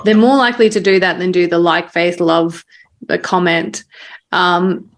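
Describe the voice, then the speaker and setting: A woman's voice: on the low side, fairly even intonation, unhurried. A woman hosting a podcast interview in a casual, informal conversational way. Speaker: podcast host.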